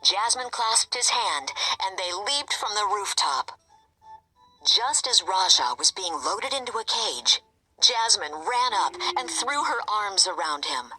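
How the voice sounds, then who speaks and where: A woman narrating a scripted children's story in an expressive, animated way. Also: medium pitch, slow pace, breathy edge, faint music behind, rough recording. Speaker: storybook reader.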